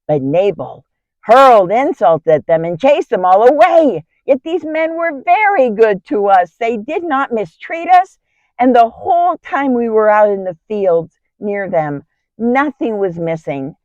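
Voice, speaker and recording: Woman acting an urgent, raised-pitch shepherd servant. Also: lively intonation, measured pace, a little mellow, dry recording, highs cut off. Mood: urgent, alarmed. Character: alarmed male shepherd servant pleading with his mistress. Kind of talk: dramatic Bible monologue.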